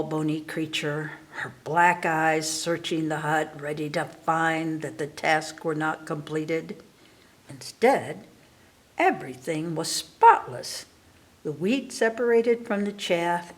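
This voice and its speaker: A woman storyteller reading a picture book aloud, deliberate and stopping to correct her slips. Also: low voice. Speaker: library storyteller.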